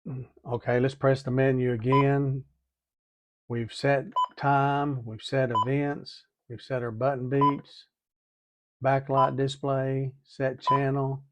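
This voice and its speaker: A man's voice: mid-range voice, level tone, takes it slowly, background noise. A man giving a methodical, hands-on tutorial, talking through each step and repeating as he goes. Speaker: DIY product demonstrator.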